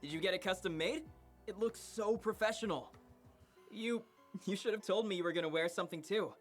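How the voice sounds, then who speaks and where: A man's voice: high voice, brisk, soft background music, natural voice. A man playing an earnest, defensive, relentlessly upbeat boy in a visual novel, easily wounded. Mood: upbeat, curious.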